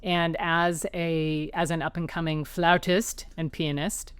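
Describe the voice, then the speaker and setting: A woman's voice: deep voice, flat delivery, measured pace, smooth, no echo. A woman author being interviewed at home, chatty and anecdotal, restarting sentences as she talks. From New York area, raised as an Army child. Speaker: author guest. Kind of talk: conversational interview. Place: her home.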